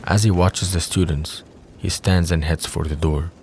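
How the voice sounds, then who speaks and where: A man's voice: low-pitched, steady tone, moderate pace, a little mellow, a little hiss or hum. A man reading scripted third-person narration for an audio drama.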